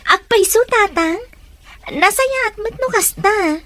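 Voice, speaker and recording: A woman's voice: extremely high pitch, animated, a little slow, a bit bright, heavily acted. An actress playing an earnest, polite young woman in a radio drama, with faint music behind.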